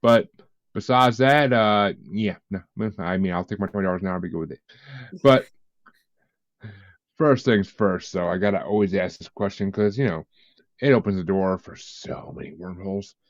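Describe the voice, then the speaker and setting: A man's voice: deep voice, moderate pace, dry recording. A man hosting a podcast in a casual chat, joking, laughing and swearing freely. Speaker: podcast host. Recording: dull, lo-fi, dry sound.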